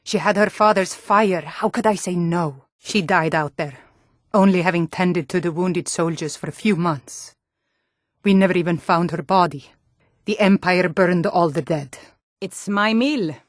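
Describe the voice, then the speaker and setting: Actress as Nord warrior woman, lowish voice, earnest and proud, deliberate fantasy game dialogue, dry sound. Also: no room sound, natural voice. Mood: grieving, then plain, practical. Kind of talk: scripted video game character dialogue.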